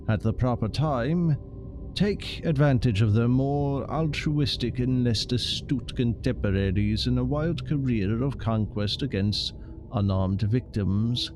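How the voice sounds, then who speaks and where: A man's voice: medium pitch, a little mellow, a little hiss or hum. A man streaming a game, commenting casually and reading text aloud.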